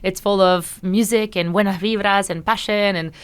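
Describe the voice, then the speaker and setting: A woman's voice: medium pitch, steady tone, moderate pace, clear, resonant tone. A young woman co-hosting a podcast, chatting in a slangy, informal way with some Spanish words mixed in. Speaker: podcast co-host. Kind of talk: casual chat.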